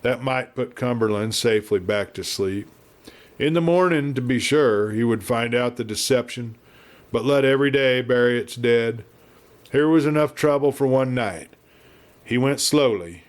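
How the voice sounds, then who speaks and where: A man narrates a western novel with a measured, dramatic touch. His voice sits at a middle pitch, and he delivers the prose as a practiced storyteller reading from the page. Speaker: audiobook reader.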